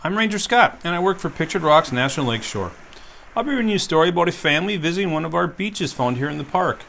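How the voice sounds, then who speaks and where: A park ranger reading a sensory story aloud to young children, gently asking them questions as he goes. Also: on the high side, animated, fast, vocal fry, slight background noise.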